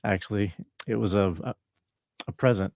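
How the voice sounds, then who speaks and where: A man, a composer guest, answering casually in a relaxed, modest way with short replies. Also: lowish voice, normal speed, no echo, clean studio sound. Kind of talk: casual interview chat.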